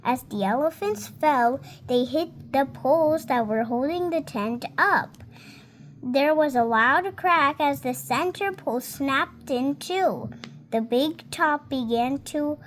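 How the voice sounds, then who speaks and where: A girl of about seven reading a storybook aloud in a careful, halting, word-by-word way. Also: high voice, a little hiss or hum. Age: child, about seven. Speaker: young reader.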